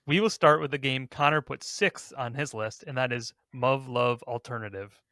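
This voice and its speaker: A man's voice: mid-range voice, normal speed, sharp tone, dry recording, studio quality. A man hosting a podcast, talking casually as he runs through a ranking discussion. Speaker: podcast host.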